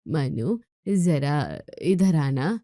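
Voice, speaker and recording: A woman's voice: low voice, animated, very slow, warm tone, dry recording, clean studio sound, voice pushed down, heavily acted. A woman voicing a weary, firm middle-aged mother-in-law who scolds in a family storybook tale. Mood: weary, commanding.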